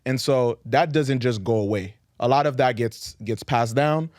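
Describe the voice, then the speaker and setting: Brief: Haitian-born male co-host, earnest and thorough, mid-pitched, dry mic. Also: normal speed, a little mellow, no echo. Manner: earnest, builds points at length. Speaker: podcast co-host. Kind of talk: casual football podcast chat.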